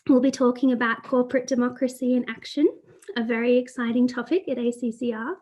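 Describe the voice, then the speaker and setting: A woman opens a webinar in a fairly high voice. She runs through the housekeeping in a friendly, organised way before handing over to the speaker. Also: fairly even intonation, a little fast, a little mellow. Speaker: webinar host.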